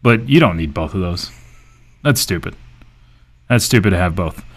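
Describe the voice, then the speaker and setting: A man's voice: low voice, lively intonation, moderate pace, breathy edge. A man co-hosting a casual sports talk show, voicing opinionated, emphatic complaints.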